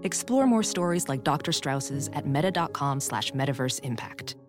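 A woman's voice: rumbling low, expressive, normal speed, over music. A woman reading scripted advertisement narration.

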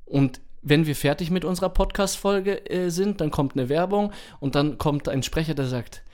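A man's voice: a little high, moderate pace, a little mellow. A man co-hosting a podcast in casual, colloquial chat with slangy turns of phrase. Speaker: podcast co-host.

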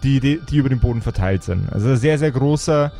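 A man narrating an improvised fantasy role-playing game as game master, with a deliberate, descriptive delivery. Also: medium pitch, animated, vocal fry, mellow. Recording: music behind the voice. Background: music.

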